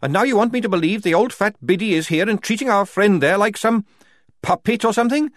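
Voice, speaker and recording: Male narrator doing a furious young female private, high, loud and growling. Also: fast, no room sound, clean studio sound, natural voice. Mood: bitter. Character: angry young female soldier, bitter and defiant.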